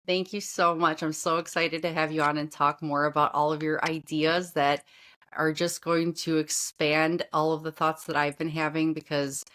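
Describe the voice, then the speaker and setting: A woman's voice: deep voice, level tone, normal speed, a bit bright. A woman hosting a podcast in a casual interview chat, gushing and effusive as she talks. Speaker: podcast host.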